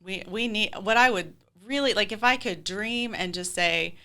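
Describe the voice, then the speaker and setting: A woman's voice: medium pitch, normal speed, sharp tone. A middle-aged woman answering interview questions as a community theater leader, speaking in an earnest, heartfelt, conversational way.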